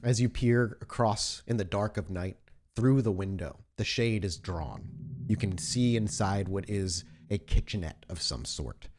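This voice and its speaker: A man narrating a tabletop horror role-playing game as game master, setting scenes in descriptive, deliberate detail. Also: lowish voice, a little mellow, slight background noise. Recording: music playing under the voice. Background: music.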